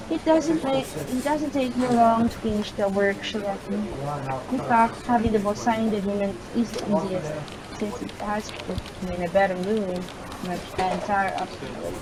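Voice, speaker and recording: A woman's voice: medium pitch, rough recording. A woman reading a visual novel's first-person story aloud, sometimes stumbling over words.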